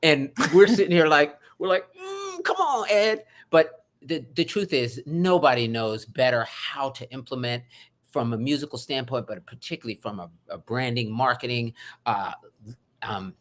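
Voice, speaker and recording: Effusive, animated man talking. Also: medium pitch, normal speed. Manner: effusive, animated, swings loud and soft. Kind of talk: energetic interview conversation.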